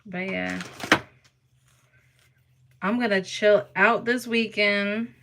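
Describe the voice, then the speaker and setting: A woman's voice: medium pitch, steady tone, slow pace, vocal fry, fairly bright tone. A woman from Harlem, chatting casually and teasingly with viewers on a live stream, laughing often. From New York (Harlem).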